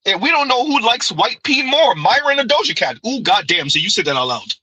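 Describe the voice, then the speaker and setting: Guy on a live stream reading chat, bright punchy voice, keeps saying right?, laughs a lot, dry close mic. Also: high voice, very animated, fairly quick, slightly rough, dry recording, low-quality recording. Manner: conversational, repeats "right?", laughs often. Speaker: streamer reading viewer comments. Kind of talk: casual live-stream commentary.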